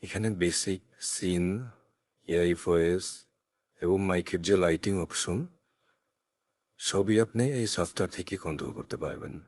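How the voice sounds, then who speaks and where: A man narrating a step-by-step software tutorial in a careful, instructional way, recorded on a close USB condenser mic. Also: low-pitched, measured pace, long pauses, no room sound. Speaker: tech tutorial presenter. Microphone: close USB condenser microphone.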